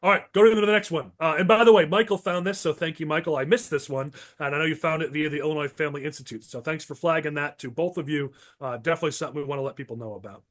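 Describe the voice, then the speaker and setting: A male podcast host steers a political panel in a brisk, partisan way. His voice sits a little high and is wearing thin from talking. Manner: brisk, partisan, moves through agenda. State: losing his voice.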